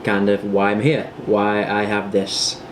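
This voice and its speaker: A man's voice: lowish voice, completely flat delivery, unhurried, slight background noise. A British man in his twenties, chatting casually to the camera in a rambling vlog style with plenty of fillers. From United Kingdom. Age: 20s. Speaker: vlogger.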